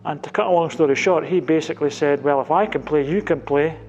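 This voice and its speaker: A man's voice: fairly high pitch, quick pace, a little mellow, lo-fi sound, soft background music. A Scottish man in his sixties, a team captain, answering interview questions in an earnest, reflective way and sometimes repeating himself. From Scotland. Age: 60s. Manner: earnest, reflective, some repetition.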